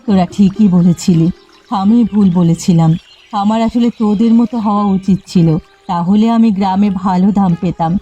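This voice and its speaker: A woman's voice: mid-range voice, normal speed, mellow, slightly rough, highs cut off, natural voice. A woman voicing an upright eldest village sister in a cartoon, stern and admonishing, weary of her siblings. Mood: disillusioned, resigned. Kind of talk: cartoon dialogue. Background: faint music.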